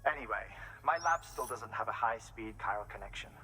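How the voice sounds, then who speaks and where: An actor playing an eager professorial scientist in a video game, lecturing in an articulate, theatrical way over a radio call. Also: male voice, lowish voice, fairly quick, sharp tone, breathy edge, faint music behind, rough recording. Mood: absorbed, lecturing. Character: eager, professorial male scientist delivering theories.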